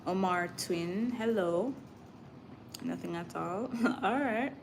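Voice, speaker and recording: A woman's voice: lowish voice, slow. A woman with a Jamaican accent hosting a casual livestream, chatting informally with viewers and drifting into Patois. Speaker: livestream host. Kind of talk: casual live chat with viewers. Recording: clear background noise.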